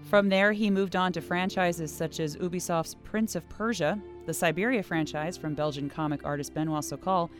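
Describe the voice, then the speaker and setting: A woman host reading a scripted introduction in a smooth, measured way. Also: lowish voice, steady tone, faint music behind. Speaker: interviewer and narrator. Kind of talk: scripted narration.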